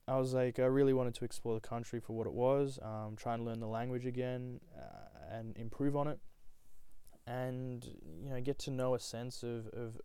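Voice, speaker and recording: A man's voice: mid-range voice, mellow, clean tone, no echo. A young man from Nepal, telling his own story in a reflective, rambling way with many fillers. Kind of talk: casual personal storytelling.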